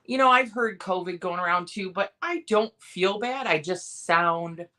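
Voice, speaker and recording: A hoarse woman with a cough, hosting a casual live sale and chatting in a rambling way with many pauses and restarts. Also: fairly low pitch, bright, forceful tone. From Michigan, USA. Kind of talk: casual live-stream chat.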